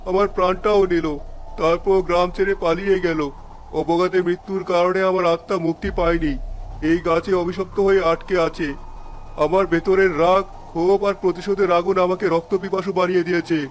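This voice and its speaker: A man's voice: high-pitched, flat delivery, fairly quick, slight background noise, close to an everyday voice. A man playing an earnest, emphatic village shopkeeper in a cartoon story, over faint music. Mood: bitter, vengeful. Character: honest generous village cloth merchant, later a vengeful restless ghost.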